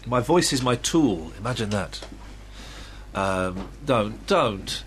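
A man's voice: lowish voice, expressive, slow, slight background noise. A man hosting a radio breakfast show, congested from a cold, talking in a rambling, jokey way in a studio. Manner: blocked nose, rambling and jokey. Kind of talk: casual chat.

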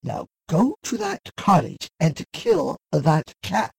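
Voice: mid-range voice, wildly swooping pitch, takes it slowly, gravelly, heavily acted. A performer voicing a wicked, imperious, irritable sorceress, scornful and commanding, in a fantasy audio drama. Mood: irritated, commanding.

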